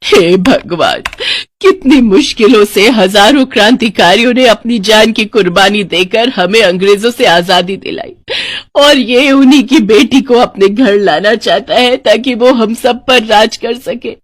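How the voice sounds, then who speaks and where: A woman voicing a house-proud, bossy middle-aged Indian mother in a cartoon story, dramatic and quick to scold. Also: a little high, no room sound, studio quality. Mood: outraged, scolding.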